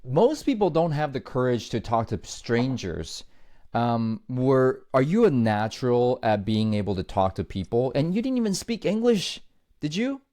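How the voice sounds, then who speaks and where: A man's voice: medium pitch, big pitch swings, normal speed, dark tone. A man hosting a podcast interview who eagerly sums up his guest's points and turns to the audience to drive them home. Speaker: podcast host.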